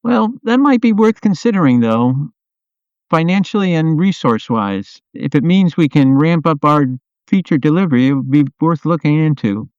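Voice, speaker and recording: Man acting as earnest product owner, clear voice. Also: a little high, lively intonation, normal speed, darkish tone, no echo, highs cut off. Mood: practical, persuasive. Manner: earnest, questioning, conciliatory. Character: Jeremy, concerned, earnest male software product owner. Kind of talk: amateur radio play dialogue.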